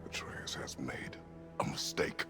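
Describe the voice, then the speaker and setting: An actor playing a stern, stoic, massive warrior father in a video game, gravelly, terse and deliberate, protective and commanding. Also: in a whisper, lightly acted. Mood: firm, solemn. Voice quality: very deep, gravelly. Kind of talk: cinematic video game acting. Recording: loud music over the voice.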